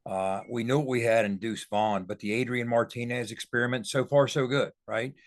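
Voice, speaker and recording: A man's voice: mid-range voice, fairly even intonation, moderate pace, no echo, clean studio sound. A man giving casual football analysis as a podcast co-host, in a folksy, explanatory way.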